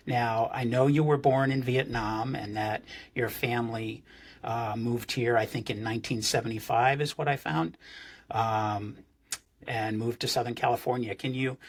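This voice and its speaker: A man hosting a podcast who introduces his guest and asks questions in a friendly, halting way with many fillers. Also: mid-range voice, slightly rough. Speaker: podcast host. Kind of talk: casual interview.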